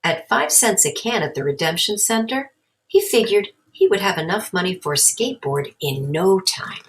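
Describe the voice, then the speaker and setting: A woman's voice: medium pitch, expressive, moderate pace. A woman narrating a children's story aloud as a storybook reader.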